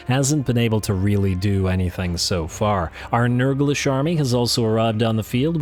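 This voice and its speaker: A man hosting a let's play, giving chatty, excitable play-by-play of a strategy battle while losing his voice a little. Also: on the low side, slight background noise. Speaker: gaming channel host.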